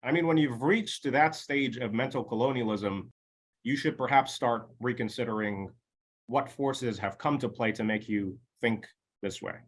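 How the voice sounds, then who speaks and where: A man co-hosting a casual podcast discussion, arguing animatedly and emphatically, with rhetorical questions and quick "no, no, no" asides. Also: mid-range voice, husky, rough recording. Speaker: podcast co-host.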